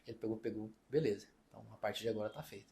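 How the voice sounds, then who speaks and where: A man's voice: fairly low pitch, gravelly, warm tone. A man from Brazil telling stories in a casual podcast chat, acting out the dialogue as he goes. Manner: fast-talking, storytelling, reenacts dialogue. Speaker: accountant and business partner.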